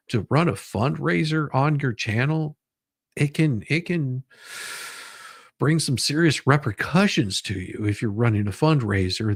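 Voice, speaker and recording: A man giving casual live commentary as a stream host, halting and often repeating his phrases. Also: medium pitch, dry recording, studio quality. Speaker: livestream host.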